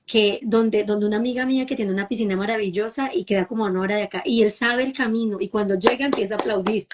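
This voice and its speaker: A woman from Bogotá with a Colombian accent, a mother speaking earnestly as a guest and often checking in with "¿sí?". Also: mid-range voice. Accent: Bogotá Colombian Spanish. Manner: warm, emphatic, repeats and asks "¿sí?" for agreement. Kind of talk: conversational talk.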